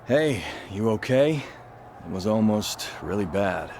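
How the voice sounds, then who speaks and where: Low-voiced, frank veteran wrestler. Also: male voice, expressive, a little slow, darkish tone, slight background noise. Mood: earnest, concerned. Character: steady veteran male wrestler, protective would-be tag partner. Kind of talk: video game cutscene acting.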